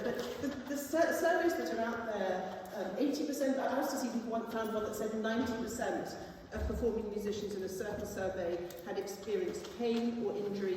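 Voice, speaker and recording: A woman giving a conference presentation as a musician, explaining earnestly and a little haltingly. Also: mid-range voice. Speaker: cellist and conservatoire teacher presenting. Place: large indoor conference hall. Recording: echoey hall from far off, faint noise.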